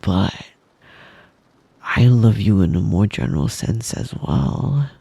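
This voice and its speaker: A man voicing a possessive, doting, menacingly devoted wolf boy lover in an intimate ASMR roleplay, breathy and husky, often slipping into a whisper, with a tender, teasing manner. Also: lowish voice, lively intonation, warm tone, no room sound, heavily acted. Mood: possessive, adoring. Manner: slow, tender, teasing, giggly.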